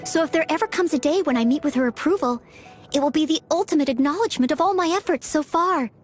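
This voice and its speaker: A woman's voice: high voice, fast talker, natural voice. A woman voicing an earnest, polite teenage maid and aspiring knight in a video game, eager to please. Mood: admiring, eager. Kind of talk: video game character voice lines. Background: background music.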